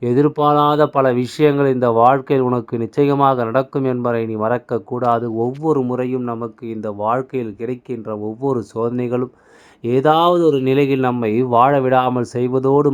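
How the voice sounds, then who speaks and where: The smooth, mid-pitched voice of a man on a morning devotional channel, urging each listener on like his own child. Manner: exhorting, repetitive, addressing listener as a child. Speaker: devotional channel speaker delivering messages in a deity's voice. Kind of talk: spiritual motivational monologue.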